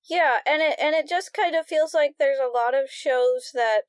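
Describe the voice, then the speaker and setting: A woman's voice: high-pitched, normal speed, no room sound, studio quality. A woman co-hosting a fan podcast, chatting casually and often repeating words as she starts a thought. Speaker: podcast co-host.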